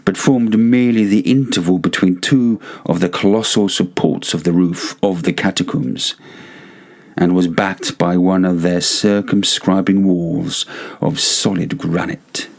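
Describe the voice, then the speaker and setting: A man narrating gothic fiction as an audiobook, in a measured, theatrical manner. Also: deep voice, fairly even intonation.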